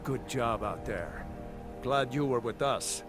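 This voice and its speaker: An actor playing a gruff, stoic male warrior in a video game. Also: mid-range voice, expressive, slow pace. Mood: stoic approval. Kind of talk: video game character dialogue. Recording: distant game audio under loud music. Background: loud game music.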